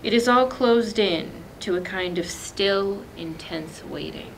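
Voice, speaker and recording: A woman in her thirties reading literature aloud as a librarian, with measured, deliberate phrasing and pauses. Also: mid-range voice, vocal fry, a bit bright, a little hiss or hum, poor audio. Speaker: librarian presenting a poetry reading. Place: indoor library, seated among bookshelves.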